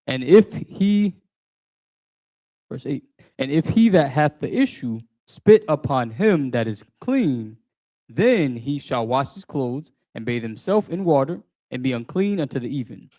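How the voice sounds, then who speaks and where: A man reading old scripture aloud in a steady, formal way, sounding distant from the mic. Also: mid-range voice, a little slow. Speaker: scripture reader.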